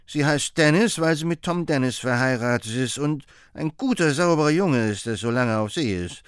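A man's voice: medium pitch, animated, normal speed, smooth, dry recording, studio quality, pitched above the performer's own voice, close to an everyday voice. A man voicing a rambling, wrinkled old woman. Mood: relieved, eager. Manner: folksy, rambling common speech.

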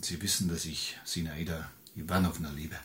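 An actor in a German radio drama playing an anxious embassy clerk who speaks in a guarded, earnest way. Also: male voice, a bass voice, fairly even intonation, moderate pace, fairly bright tone. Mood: nervous pleading. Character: anxious, lovesick American embassy clerk turned reluctant informant.

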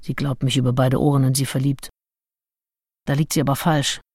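An actress playing a self-assured, calculating nineteenth-century seducer who narrates her diary in a radio play, wry and deliberate. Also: rumbling low, little pitch movement, a little mellow, breathy edge, no room sound, studio quality, exaggerated voice. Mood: wry, smug. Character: self-assured, calculating female seducer and diarist, early 1800s.

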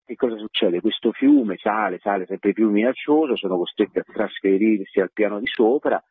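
A man's voice: fairly low pitch, sing-song, moderate pace, husky, dry recording. A man interviewed on radio as a theatre director, telling things in a conversational storytelling way. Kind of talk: radio interview, describing a play. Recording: phone-like narrow band, radio interview.

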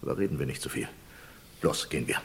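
An actor in a vintage radio play, playing a condemned man escaping with a hostage, slyly polite and unhurried in his menace. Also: a little hiss or hum, close to an everyday voice. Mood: smug. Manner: mock-courteous, unhurried, menacing. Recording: long reverberant hall.

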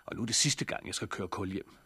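An actor in a 1960s radio drama playing a brooding, stubborn husband and farm leader, terse and understated. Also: male voice, lowish voice, pushed and bright, breathy edge, no room sound, full character voice. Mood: resigned, fatherly.

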